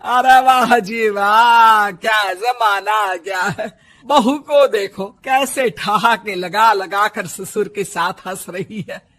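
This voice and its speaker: A woman's voice: medium pitch, slow, a bit bright. A woman voicing a bossy, hot-tempered older mother-in-law in a cartoon story, nagging and sarcastic. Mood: sarcastic scolding. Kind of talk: Hindi moral-story cartoon voice acting.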